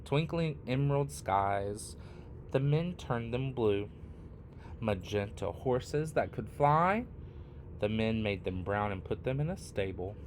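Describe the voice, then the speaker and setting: A man reading a picture book aloud expressively, in short phrases with deliberate, dramatic pauses. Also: fairly low pitch, animated, a little hiss or hum. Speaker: read-aloud storyteller.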